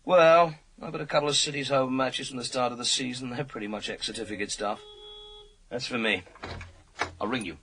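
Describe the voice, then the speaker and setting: An actor playing a weary, sardonic police inspector in a radio crime drama, blunt and dry in manner. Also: male voice, medium pitch, brisk, fairly bright tone, breathy edge. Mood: awkward, wry.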